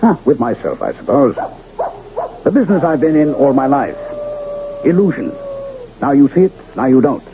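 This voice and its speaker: A man's voice: fairly high pitch, wildly swooping pitch, moderate pace, long pauses. Florid, genial old carnival showman. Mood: grandiloquent, persuasive. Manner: theatrical, florid, courtly diction. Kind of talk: old-time radio drama acting. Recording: muffled, noisy vintage radio broadcast.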